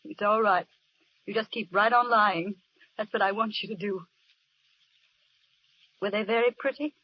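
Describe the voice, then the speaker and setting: A woman's voice: medium pitch, measured pace, natural voice. An actress playing a tender, devoted young woman in love in a 1930s radio drama. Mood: tender, teasing. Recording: muffled, noisy 1930s radio broadcast recording.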